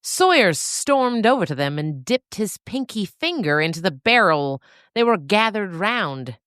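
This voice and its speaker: A woman storyteller reads comic scripted narration. Her delivery is slow and very lively in pitch, in a dry recording with little room sound. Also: smooth, no echo.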